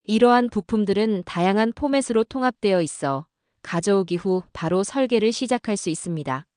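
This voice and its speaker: Mellow female tutorial narrator. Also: mid-range voice, lively intonation, fairly quick, no echo, clean studio sound. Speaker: webinar narrator. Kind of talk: scripted software tutorial narration.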